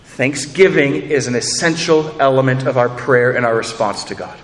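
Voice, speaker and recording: A man's voice: medium pitch, normal speed, in a large hall. A man preaching a sermon, earnest, asking rhetorical questions and repeating words as he builds each point. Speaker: pastor.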